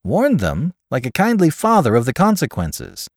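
A man's voice: a little high, huge pitch swings, normal speed, no echo, clean studio sound, voice pushed up. A man voicing a coolly logical male friend who gives persuasive advice in a clipped, exact way, in an audiobook story. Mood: brisk, insistent.

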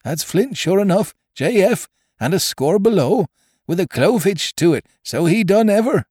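A man voicing an excitable, gleeful pirate mutineer in an audiobook, exclaiming in rough sailor dialect. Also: high-pitched, normal speed, dry recording, clean studio sound, voice pushed up. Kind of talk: audiobook character voice.

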